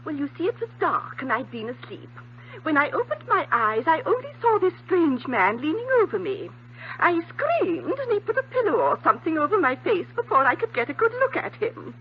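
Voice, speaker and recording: Titled Englishwoman, sixtyish, theatrical, high voice with very wide swings. Muffled vintage radio sound. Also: very animated, moderate pace. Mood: flustered. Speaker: actress. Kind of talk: 1950s radio drama acting. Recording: dull 1950s radio recording.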